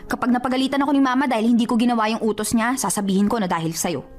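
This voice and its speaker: A high-pitched, guilt-ridden older sister acted by a woman in a radio drama. She speaks in hesitant, stammering pleas, as if hiding something. Music plays underneath. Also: steady tone, rapid-fire, lightly acted. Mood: pleading. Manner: hesitant, pleading, stammering excuses. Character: evasive, guilt-ridden older sister torn over a secret pact. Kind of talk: Tagalog radio drama acting.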